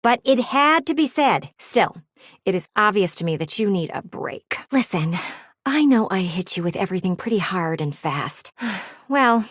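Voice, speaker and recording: An actress voicing a pushy, blunt talking window in a video game, with bossy, dramatic emphasis. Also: female voice, mid-range voice, sing-song, moderate pace, no room sound, studio quality. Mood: frank, firm.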